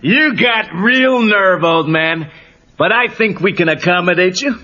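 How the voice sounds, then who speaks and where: Merciless American sensei, raised pitch, slow deliberate delivery, sneering commands, menacing, film dialogue. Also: male voice, pushed and bright. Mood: cold threat. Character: menacing, merciless karate sensei, grown man, contemptuous. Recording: dull lo-fi cassette tape.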